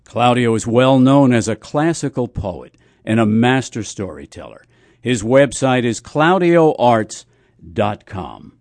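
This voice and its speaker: A man's voice: medium pitch, animated, unhurried, darkish tone, no echo. A man reading a scripted promotional announcement for radio.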